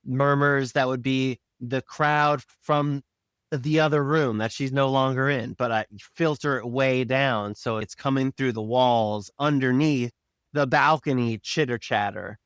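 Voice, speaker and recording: Male, mid-range and smooth, dry close sound, casual explanatory guest on a behind-the-scenes podcast. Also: medium pitch, fairly even intonation, unhurried, fairly bright tone, no room sound.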